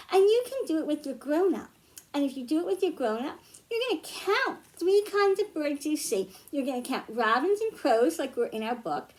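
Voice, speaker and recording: A woman with an American accent and a high voice leads a storytime for young children. She takes her time, speaking very slowly in simple phrases pitched at little listeners, as a children's librarian does. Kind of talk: picture-book read-aloud and simple teaching for young children.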